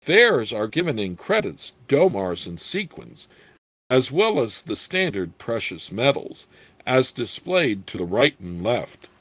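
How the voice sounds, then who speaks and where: A small old gnome proprietor from a fantasy storybook, acted out by a man. He is eager, formal and fussy, quick to take offence, with a squeaky manner and pitch that swoops about. The sound is close and dry. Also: sing-song, a bit bright, no echo. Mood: helpful, proud. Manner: fussy, formal salesman's patter. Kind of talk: fantasy storybook character reading.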